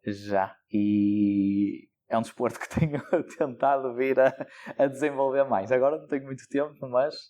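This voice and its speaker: A man's voice: medium pitch, steady tone, normal speed, warm tone. Hesitant young Portuguese man. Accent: European Portuguese. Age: young adult. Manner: thoughtful, hesitant with frequent fillers. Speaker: local election candidate. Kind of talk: interview answers.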